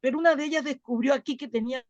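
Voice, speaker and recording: A woman from Latin America telling a personal story to a group in an earnest, halting way, repeating words as she goes. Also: high voice, dry recording, dull audio. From Latin America, outside Chile. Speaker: club volunteer and workshop participant.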